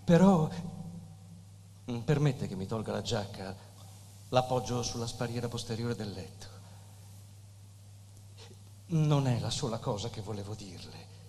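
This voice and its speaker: A man's voice: medium pitch, expressive, unhurried, long pauses, warm tone. Humble fatherly poet, echoing hall. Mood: hesitant, courteous. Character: humble, affectionate male country poet and fatherly mentor. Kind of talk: theatrical literary stage acting. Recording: reverberant hall with background noise.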